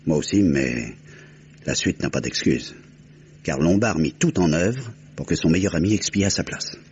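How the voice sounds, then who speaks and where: An actor playing a composed detective, measured and articulate as he explains, in an old French radio crime drama. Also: low-pitched, expressive, darkish tone, husky, heavily acted. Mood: methodical storytelling. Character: composed, methodical detective recounting a solved murder. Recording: muffled old radio recording, faint noise.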